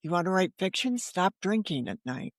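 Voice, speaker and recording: A woman's voice: on the low side, very animated, normal speed, dry recording, clean studio sound. An older American woman from Connecticut, around seventy, talking candidly as an interview guest in an emphatic, anecdotal way with rhetorical tag questions. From Connecticut, United States. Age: older, about 70. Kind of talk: candid conversational storytelling.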